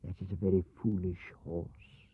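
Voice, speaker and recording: A man's voice: low voice, little pitch movement, measured pace, breathy edge. Storybook cat, performed by a man: aloof, haughty, cunning loner; tinny old cassette sound. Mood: sly, hushed. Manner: haughty, self-satisfied. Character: aloof, sly, independent cat who walks alone. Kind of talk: children's storybook reading. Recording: thin, narrow-band vintage cassette sound.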